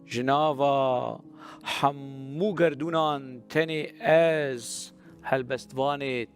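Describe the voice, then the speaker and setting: A middle-aged man from Syria, a Kurdish and Arabic native speaker, talking as a poet at a literary festival with many drawn-out "uh" pauses and then reciting poetry. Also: mid-range voice, fairly even intonation, soft background music. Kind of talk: hesitant prepared talk, then poetry recital.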